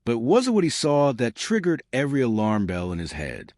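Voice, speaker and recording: A man reading a scripted dark mystery story as a dramatic, deliberate narrator. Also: mid-range voice, huge pitch swings, no room sound, clean studio sound.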